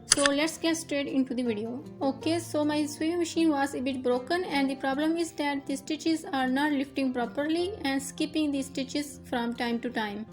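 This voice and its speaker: A woman's voice: high voice, moderate pace, hardly any pauses, over music. A young woman whose first language is Urdu, patiently talking through a sewing machine fix step by step as host of her tutorial channel. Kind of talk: instructional voice-over.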